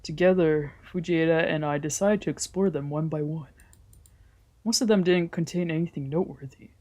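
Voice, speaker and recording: A woman reading first-person visual novel narration aloud in an expressive storytelling style. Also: sits low, moderate pace.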